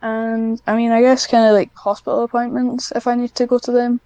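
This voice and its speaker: Soft-toned young woman, close dry sound. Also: on the high side, flat delivery, brisk, clean tone, no room sound. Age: young adult. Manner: self-deprecating, dryly joking. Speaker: podcast guest, script writer. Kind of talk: casual interview chat.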